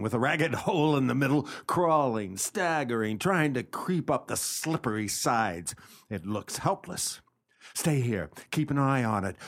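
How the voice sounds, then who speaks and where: Lively, loyal gentleman's companion. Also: mid-range voice. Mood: grim, urgent. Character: loyal, practical gentleman's companion, steady and reassuring. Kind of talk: radio play acting.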